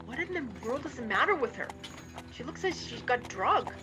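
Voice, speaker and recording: A woman's voice: on the high side, sing-song, normal speed, over music. A woman playing a folksy, urgent Western deputy in a radio play. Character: Chester, excitable rustic Western deputy with folksy drawl.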